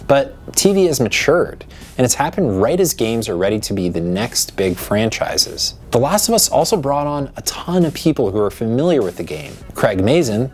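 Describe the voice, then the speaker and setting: A man's voice: mid-range voice, a little mellow. A man reading a scripted video essay as its narrator.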